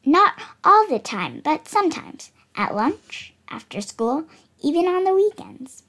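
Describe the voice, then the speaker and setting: Lively high-voiced child reading aloud. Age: child, 8 years old. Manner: slightly halting, dramatic emphasis on words. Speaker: young book reader. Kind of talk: expressive picture-book read-aloud.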